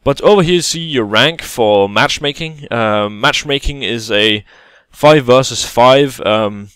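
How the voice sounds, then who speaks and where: A man talks through a game tutorial in a relaxed, halting way, often pausing and restarting. His voice is smooth and clear. The recording is dry and close, with no room sound. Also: medium pitch, no room sound. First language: Danish. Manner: hesitant, many fillers and restarts, casual explaining. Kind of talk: gameplay tutorial voice-over.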